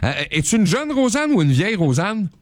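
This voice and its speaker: Lively radio host, bouncy voice. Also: male voice, a little fast, a little hiss or hum. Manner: animated, joking, pitch jumping up on questions. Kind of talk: casual morning radio chat.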